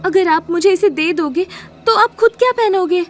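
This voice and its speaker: Very high-pitched anxious young woman, acted by a woman. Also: moderate pace, fairly bright tone, soft background music. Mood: timid, protesting. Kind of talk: Hindi horror audio drama acting.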